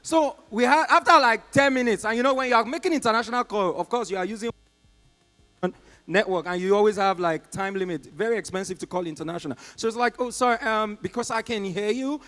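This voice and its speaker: A young Nigerian man doing live stand-up, telling a story and acting out the people in it with quick voices and loud exclamations. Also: sits high. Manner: acts out callers and agents in quick put-on voices, loud exclamations. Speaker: stand-up comedian. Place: comedy club stage.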